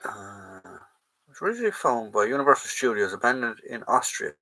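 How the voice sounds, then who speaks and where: A man's voice: on the low side, big pitch swings, a little fast, sharp tone, poor audio. An Irish man hosting a live chat, casually reading out and answering viewer comments, trailing off and restarting.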